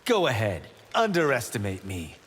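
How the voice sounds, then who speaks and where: Cocky young fighter, husky, lively. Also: male voice, mid-range voice, moderate pace, full character voice. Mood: cocky, challenging. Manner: self-assured, brash, teasing. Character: cocky, quick-witted young male monk fighter. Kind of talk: dramatic fighting-game dialogue. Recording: long reverberant hall echo.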